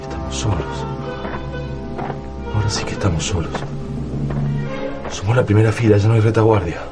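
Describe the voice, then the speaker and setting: A man's voice: on the low side, normal speed, natural voice. An actor playing a resolute, protective father in a radio drama, speaking in an urgent, commanding way. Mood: grim, resolute. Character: resolute, protective father leading his family through an invasion. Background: dramatic music and sound effects.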